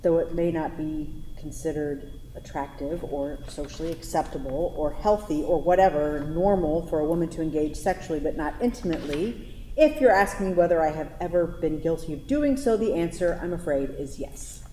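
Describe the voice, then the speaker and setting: In an echoey hall with faint noise, an actress plays a confident woman quarrelling with her lover in a stage play. Her voice is mellow and soft-edged, and her delivery is frank, sardonic and challenging. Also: low voice, moderate pace, natural voice. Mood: guarded, candid. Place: theatre stage at a live staged reading.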